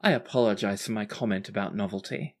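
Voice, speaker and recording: A game master voicing a young princess who is courteous, formal, excitable and easily flustered, in a tabletop game. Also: sits low, fast talker. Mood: apologetic, formal.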